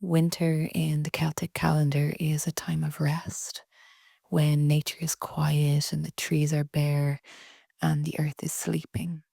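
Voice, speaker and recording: A woman leading a guided relaxation meditation in a soft, breathy, soothing way with long pauses. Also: sits low, little pitch movement, dry recording, clean studio sound. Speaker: yoga nidra meditation guide.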